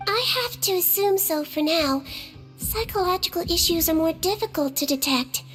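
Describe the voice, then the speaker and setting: Very high-pitched girlish little nurse creature. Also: moderate pace, soft background music. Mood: calm, professional. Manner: calm, reassuring, clinical. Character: small, girlish, gentle Melusine head nurse. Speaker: head nurse. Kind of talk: video game voice acting.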